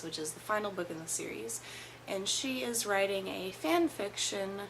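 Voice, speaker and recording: A young woman in her twenties, hoarse from being sick, rambling casually through a book review at home in front of a camera. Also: mid-range voice, fairly bright tone, a little hiss or hum, low-quality recording. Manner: chatty, rambling, with self-corrections. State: hoarse from illness. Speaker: book vlogger. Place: small indoor room in front of bookshelves.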